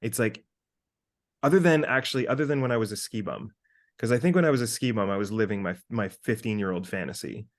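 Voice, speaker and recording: A man in his thirties talking casually as a podcast co-host, animated and sweary as he thinks out loud. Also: lowish voice, fast. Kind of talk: casual conversational monologue with hypothetical examples.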